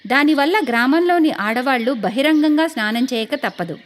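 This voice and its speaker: A woman's voice: sits high, expressive, moderate pace. A woman narrating a scripted moral story in a storytelling style.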